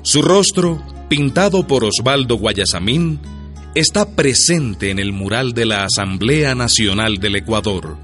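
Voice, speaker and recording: A man's voice: medium pitch, lively intonation, measured pace, fairly bright tone, soft background music. A man narrating a radio drama as a solemn, reverent storyteller. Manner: solemn, declamatory.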